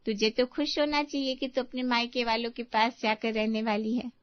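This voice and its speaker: A woman's voice: a little high, flat delivery, moderate pace, dry recording, muffled recording, close to an everyday voice. A woman playing a fond, effusive middle-aged mother-in-law in a Hindi moral story cartoon. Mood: concerned.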